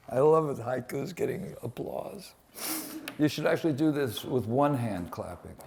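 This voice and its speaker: A man introducing poems at a live reading, talking informally in a halting, digressive way. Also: medium pitch, expressive, gravelly, noisy background. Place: museum event before an audience.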